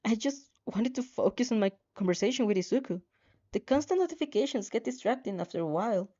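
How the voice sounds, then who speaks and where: A woman's voice: a little high, animated, fast, warm tone, muffled recording. A woman voicing a friendly, earnest story protagonist in a casual manner during a fan fiction reading. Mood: pleading.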